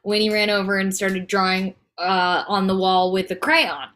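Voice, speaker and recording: A woman's voice: medium pitch, normal speed, fairly bright tone, natural voice. A woman playing a frazzled, firm young wife and mother of toddlers in a comedy table read, speaking in a dry, weary conversational way.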